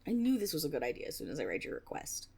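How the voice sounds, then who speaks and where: A woman's voice: low voice, very animated, very fast, darkish tone, exaggerated voice. A woman playing an eager, nervous young female tiefling rogue in an intimate fantasy audio roleplay, rambling and stammering with a teasing streak. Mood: earnest, eager. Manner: nervous rambling with stammers, sighs and breathy giggles.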